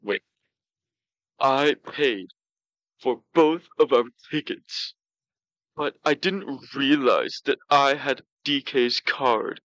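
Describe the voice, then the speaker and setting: A man voicing a pouty, self-justifying glutton who tells comic stories in a halting way. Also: medium pitch, fairly bright tone, no room sound, poor audio. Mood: smug, matter-of-fact. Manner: pouty, slow, halting delivery. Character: pouty, self-justifying, gluttonous male talk show storyteller. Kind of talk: comedic in-character storytelling.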